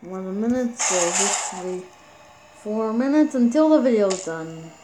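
A woman's voice: mid-range voice, unhurried, background noise. A woman talking casually over mobile gameplay as a YouTube gamer, stumbling and repeating words with many fillers, recorded on a phone. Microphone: phone screen recorder mic.

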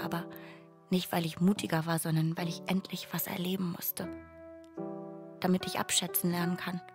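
An actress playing a wistful, earnest messenger from heaven in a radio play, reflective and measured. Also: female voice, lowish voice, close to an everyday voice. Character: wistful, earnest dead woman, heavenly messenger longing for love. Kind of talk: radio play acting, narration.

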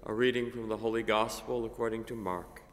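An older man, a priest, reading a gospel and preaching a homily at a lectern microphone, measured and halting with many fillers. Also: fairly low pitch, steady tone, a little hiss or hum. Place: cathedral chapel, at a lectern. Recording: long reverb of a chapel.

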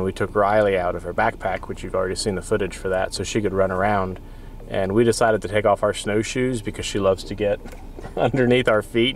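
A man's voice: lowish voice, a little hiss or hum. A man around his thirties chatting casually to the camera as a travel vlogger, sitting inside a car.

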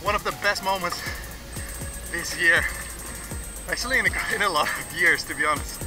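A man's voice: high voice, normal speed. A Dutch man in his thirties with a Dutch accent, chatting enthusiastically to a handheld action camera outdoors by the sea. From Netherlands. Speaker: vlogger. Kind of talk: casual travel vlog. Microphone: action camera held at arm's length. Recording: music playing underneath.

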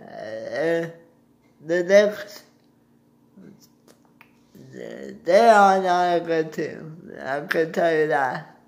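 A young man talks through a casual sports recap, recorded on a phone in a room at home. His voice sits a little high for a man. He speaks slowly and haltingly, often searching for the next word. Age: young adult. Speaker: sports vlogger. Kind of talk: casual postgame basketball recap. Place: small indoor room at home. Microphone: phone at arm's length.

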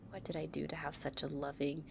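A woman playing a possessive young gang-leader girlfriend, tough but tender, in an intimate, soft-spoken audio roleplay. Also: low voice, level tone, moderate pace, creaky, noisy background. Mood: tender, grateful.

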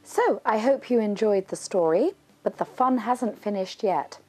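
A middle-aged woman reading a rhyming children's story aloud with dramatic, deliberate phrasing. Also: medium pitch, lively intonation, a little mellow, husky. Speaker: children's author reading own book. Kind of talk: expressive read-aloud storytelling in rhyming verse.

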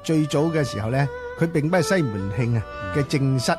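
A man's voice: medium pitch, animated, fairly quick. Male radio co-host talking over music. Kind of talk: conversational literary commentary on radio. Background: music under the voice.

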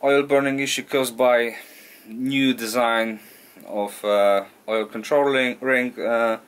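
A man's voice: medium pitch, smooth. Hesitant man talking through a garage job. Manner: halting, thinking aloud with frequent uh and restarts. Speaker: DIY car mechanic channel host. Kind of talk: casual workshop vlog. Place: small home garage workshop.

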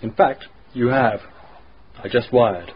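An actor playing a flippant, well-connected young English gentleman in a radio drama. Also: medium pitch, slow, natural voice. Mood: breezy, urgent. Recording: muffled radio recording, faint noise.

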